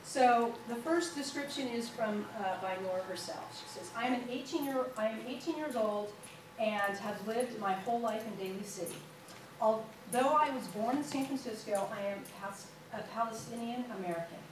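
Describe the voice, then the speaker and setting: A woman's voice: a little high, normal speed. Woman presenter at a board meeting, reads steadily, far off in a reverberant room with background noise. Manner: reads aloud steadily, with small slips and fillers. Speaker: school official presenting an award. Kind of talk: reading a formal introduction at a meeting. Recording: echoey hall, picked up from far off, with noise.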